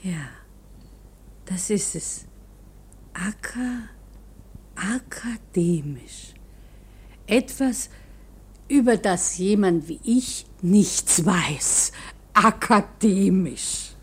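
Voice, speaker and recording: A woman's voice: medium pitch, animated, extremely slow, pauses often, husky, full character voice. A woman playing a humble, motherly older landlady in a radio drama, hesitant, deferential and soothing. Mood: gentle, hesitant. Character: humble, motherly old landlady, simple and fretful.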